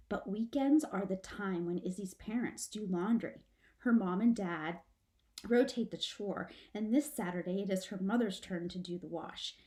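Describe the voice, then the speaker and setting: A woman reading a children's book aloud like a teacher, with dramatic, expressive shifts of emphasis. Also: mid-range voice. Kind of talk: expressive storybook read-aloud.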